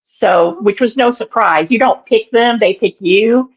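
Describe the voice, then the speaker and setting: A woman in her sixties with a naturally raspy voice and an allergy-irritated throat she keeps clearing, telling a story in an interview in a halting, meandering way. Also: a little high, highs cut off. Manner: meandering, halting, with many fillers and restarts. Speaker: guest, minister and author.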